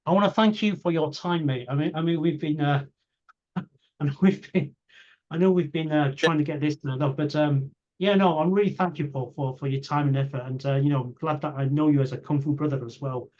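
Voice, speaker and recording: A man's voice: a little high, a little fast, darkish tone. A man interviewing casually, with hesitant, rambling and repetitive phrasing. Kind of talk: casual chat. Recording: dull lo-fi audio.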